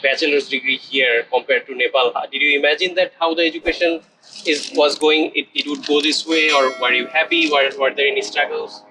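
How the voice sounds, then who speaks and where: A man's voice: on the high side, little pitch movement, brisk, noisy background. A man hosting a casual interview, asking questions as the interviewer. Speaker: interviewer, program mentor.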